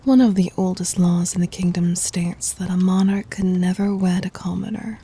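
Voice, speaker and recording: A woman performs a regal demon queen for an ASMR roleplay, in a lowish, soft and dark voice that is mostly breath. She speaks with formal poise and gentle dominance. Her commands come out tender, scheming and adoring. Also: fairly even intonation, moderate pace. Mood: regal, doting, composed. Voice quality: breathy, whispery.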